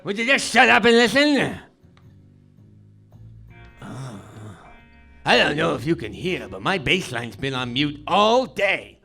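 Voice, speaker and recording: A man playing the detective's gruff older father, drawling and fatherly with sudden loud cheers on a live comedy stage. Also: a little high, very animated, takes it slowly, long pauses, soft background music, full character voice. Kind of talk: live comedy radio-play acting.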